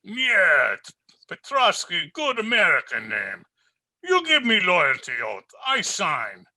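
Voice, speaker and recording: Brusque Russian tourist, slow broken English, bossy, animated swings, radio comedy. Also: male voice, high voice, full character voice. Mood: brusque, insistent. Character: brusque Russian tourist posing as American, photographing everything. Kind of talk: old-time radio comedy acting.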